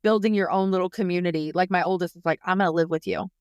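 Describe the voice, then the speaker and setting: Chatty, animated mom podcast host. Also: female voice, lowish voice, fast talker, clear, resonant tone, no room sound, dull audio. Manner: chatty, animated storytelling with slangy asides. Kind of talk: casual podcast chat.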